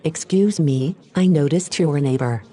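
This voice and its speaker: A very low, dark-toned female home AI acted by a woman for an audio drama. She is polite and helpful, giving matter-of-fact replies in a friendly way. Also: moderate pace, husky. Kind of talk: scripted audio drama dialogue.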